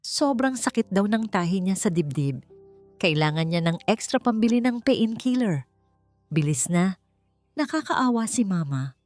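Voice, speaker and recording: A woman's voice: medium pitch, expressive, unhurried, darkish tone, no echo, soft background music, close to an everyday voice. A woman with a Filipino accent voicing a pushy younger sister who pleads urgently for money in a story podcast. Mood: urgent wheedling.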